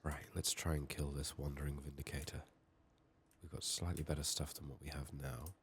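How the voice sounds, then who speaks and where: A man giving soft-spoken, sometimes whispered ASMR game commentary, muttering to himself in short, unhurried phrases close to the microphone. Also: very deep voice, little pitch movement. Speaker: video game player and streamer.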